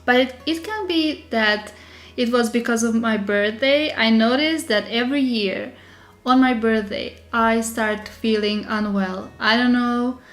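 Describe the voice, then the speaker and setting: A woman from Serbia with a Serbian accent, slightly under the weather, chatting casually and haltingly as she hosts a live tutorial. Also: fairly high pitch. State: slightly unwell with a sore throat. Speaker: digital journaling creator hosting a live stream.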